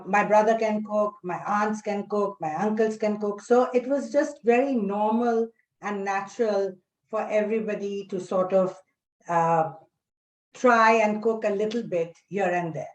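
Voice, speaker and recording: Slow-paced woman in an echoey room. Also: medium pitch. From Karachi, Pakistan. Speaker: panelist, recipe curator. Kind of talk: personal introduction at a panel talk.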